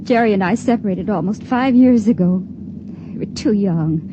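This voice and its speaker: An actress playing a pale, frightened young stowaway in an old radio drama, speaking softly and haltingly. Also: female voice, medium pitch, natural voice. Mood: wistful. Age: young adult. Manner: soft, halting, stammering. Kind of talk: 1950s radio drama acting. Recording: dull vintage radio broadcast with hiss.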